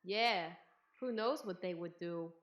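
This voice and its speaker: Slow, blunt, impatient young survivor, a woman acting. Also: on the low side. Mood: sarcastic, insistent. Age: teenager. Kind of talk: radio theatre acting. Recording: echoey room, faint noise.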